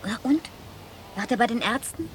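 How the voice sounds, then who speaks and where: Lively-pitched, tender mother played by an actress. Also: moderate pace, slight background noise, natural voice. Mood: tender, concerned. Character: tender, weary peasant mother of two girls. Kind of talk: German radio drama acting.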